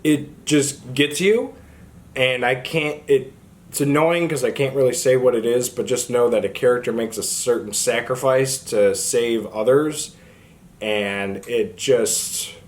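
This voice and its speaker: A man's voice: mid-range voice, a little slow. A man hosting a book channel, talking casually to the camera in an informal, rambling way. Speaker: book review channel host.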